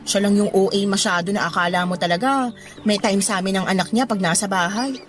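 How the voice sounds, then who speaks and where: A woman's voice: mid-range voice, brisk, close to an everyday voice. An actress playing a discontented, flirty mother of thirty who confides in a Filipino accent, in a conversational radio drama style. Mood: confiding, resentful. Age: 30s. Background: background music.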